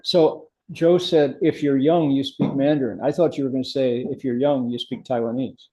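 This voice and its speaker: A man's voice: medium pitch, steady tone, normal speed, dark tone, low-quality recording. An older man leading a meditation class in casual, chatty discussion, often restarting his sentences and affirming students.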